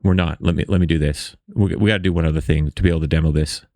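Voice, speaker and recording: A man's voice: very deep voice, fairly even intonation, rapid-fire, darkish tone, dry recording, studio quality. A man hosting a casual screen-share coding tutorial, explaining step by step in an informal, conversational way. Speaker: tutorial host.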